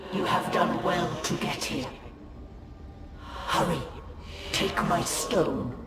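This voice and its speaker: A man playing a calm, mystical alien prophet in a video game, with measured, formal speech. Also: on the high side. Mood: calm, guiding. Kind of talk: dramatic video game acting. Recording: heavily echoed, distant, loud music.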